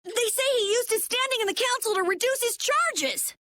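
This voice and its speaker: A woman voicing an excitable, indignant young child in a video game, urgent and exclaiming. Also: very high-pitched, moderate pace, no room sound, full character voice. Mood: alarmed, indignant.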